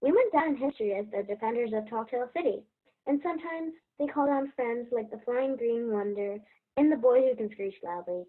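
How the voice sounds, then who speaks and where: High-voiced earnest little girl played by a woman. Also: a little fast, close to an everyday voice. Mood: proud storytelling. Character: Sam, earnest, hopeful little girl fairy-tale hero. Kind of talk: stage play acting and storybook narration. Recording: dull, muffled lo-fi sound.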